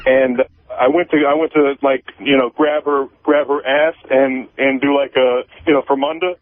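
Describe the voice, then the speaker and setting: Halting male caller on tinny phone line. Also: mid-range voice, steady tone, no echo. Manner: halting, repetitive, profane, defensive. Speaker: show caller. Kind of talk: casual confessional phone-in chat.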